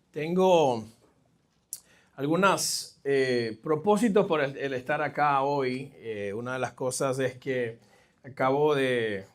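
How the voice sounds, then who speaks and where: A middle-aged man with a Caribbean Spanish accent giving a conversational, halting sermon as a guest pastor, addressing the congregation directly. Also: mid-range voice, a bit bright. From New Jersey, of Caribbean family. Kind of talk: live sermon, personal testimony. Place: church, speaking to a congregation.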